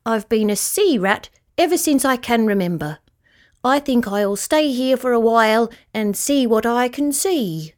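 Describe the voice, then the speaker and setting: Woman putting on a cheeky little brown rat guy, slow storybook talk, bouncy pitch. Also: animated. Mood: chatty. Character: small cheeky male brown sea rat.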